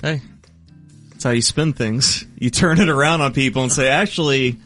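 A man's voice: medium pitch, moderate pace, fairly bright tone, soft background music. A man, a radio producer, defending himself with jokes against teasing. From Texas. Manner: defensive, joking. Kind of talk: bantering radio talk segment. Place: radio studio.

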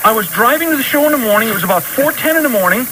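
A man with a Polish-tinged Lake Erie accent tells stories in a studio, chuckling between lines. His voice sits high and swings widely. The broadcast carries a steady hiss. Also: rapid-fire. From Lake Erie region, New York. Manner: storytelling, chuckling often. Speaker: radio host, guest. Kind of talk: studio radio banter. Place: radio studio. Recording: noisy radio broadcast.

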